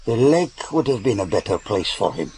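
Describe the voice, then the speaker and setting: An actor in a radio drama playing a grandiose, paranoid aristocratic villain with a pompous, gravelly delivery. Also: male voice, fairly low pitch, big pitch swings, moderate pace, darkish tone, slight background noise. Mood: cold, calculating. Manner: pompous, self-aggrandizing.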